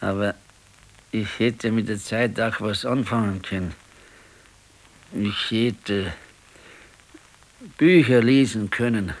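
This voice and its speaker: An actor playing an ailing, brooding old retired husband in a radio play, with a Bavarian accent and a resigned, halting, plain-spoken manner. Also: male voice, lowish voice, low-quality recording. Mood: brooding, regretful. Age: older. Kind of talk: naturalistic 1970s radio drama acting.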